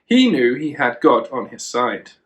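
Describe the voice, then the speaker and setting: Guy reading a kids story, takes his time, really animated pitch, chats to the little one. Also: measured pace. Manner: chatty asides to a child. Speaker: children and youth worker, storyteller.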